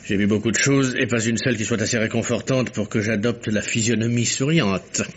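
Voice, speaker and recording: Actor as low-voiced, world-weary reporter. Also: male voice, fairly even intonation, a little fast, a little hiss or hum, natural voice. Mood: bitter. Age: 30s. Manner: gloomy, curt, guarded. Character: world-weary, evasive reporter in his thirties. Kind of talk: old French radio drama acting. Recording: dull vintage radio recording.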